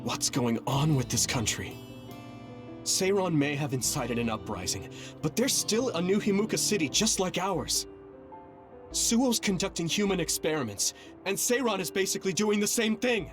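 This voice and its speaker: A man's voice: sits high, animated, normal speed, over music. A man playing an earnest, courteous young squad leader in a video game, troubled and full of questions. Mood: troubled, questioning. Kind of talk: anime-style video game cutscene acting.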